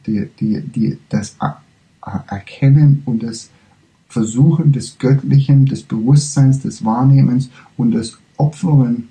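A man giving a reflective spiritual lecture, halting and searching for words, with frequent restarts, in a language he says he does not speak fully fluently. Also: mid-range voice, vocal fry, warm tone, low-quality recording.

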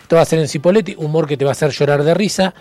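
A man's voice: on the high side, very fast, a little mellow, no room sound. A man from Argentina with an Argentine accent, hosting a radio show with casual chat and announcements. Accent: Argentine Spanish.

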